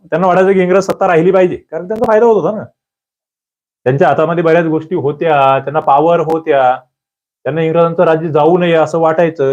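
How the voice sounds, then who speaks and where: A man's voice: fairly high pitch, a little mellow, muffled recording. A man teaching an exam-prep history lesson, reading out quiz questions and answers and coaxing his students, repeating the key answers.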